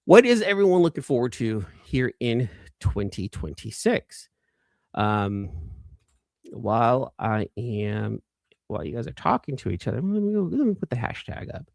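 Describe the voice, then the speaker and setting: A man's voice: medium pitch, big pitch swings, normal speed, creaky, darkish tone, dry recording, clean studio sound. A man hosting a casual livestream, chatting informally and enthusiastically with viewers and often repeating phrases for emphasis. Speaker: livestream host.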